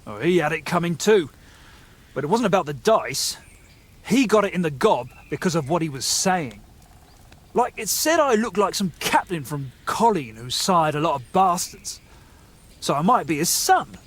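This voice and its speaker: A high-voiced, shifty young rogue. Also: male voice, big pitch swings, moderate pace. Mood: defensive, indignant. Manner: colloquial, defensive, blustering. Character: shifty, jittery young male rogue archer in medieval Bohemia. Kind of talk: video game dialogue acting.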